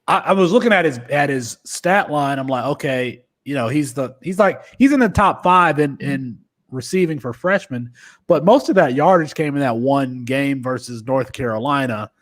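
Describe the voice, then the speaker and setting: A man's voice: mid-range voice, animated, normal speed. A man in his thirties co-hosting a casual fantasy football podcast, often restarting and trailing off. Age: 30s. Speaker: podcast co-host.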